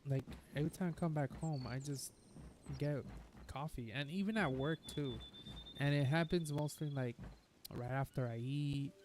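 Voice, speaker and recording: A man's voice: on the high side, moderate pace, darkish tone, a little hiss or hum. Chatty streamer man with a nagging cough. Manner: chatty, rambling and upbeat. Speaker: gaming streamer. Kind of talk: casual gameplay commentary. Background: game music.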